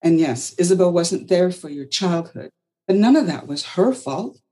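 A woman voicing a protective older caretaker who speaks firmly and chides gently. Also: lowish voice, animated, moderate pace, voice pushed up, lightly acted. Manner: firm, chiding, motherly.

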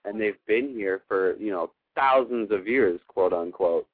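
A man's voice: fairly low pitch, a little slow, husky, dry recording. A man hosting a call-in advice show, talking in an earnest, affirming and emphatic way in a spiritual coaching style. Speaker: radio show host giving advice to callers. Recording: narrow, phone-like radio sound.

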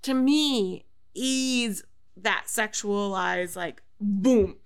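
A woman's voice: mid-range voice, drawn-out words, smooth. A woman whose first language is Spanish, chatting as a guest on a podcast in a loose, rambling way, swearing freely. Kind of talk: casual chat.

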